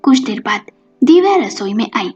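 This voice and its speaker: Woman reading a story, high voice, very animated, bit muffled, music underneath. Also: moderate pace, breathy edge. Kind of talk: scripted story narration. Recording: dull lo-fi audio with music behind.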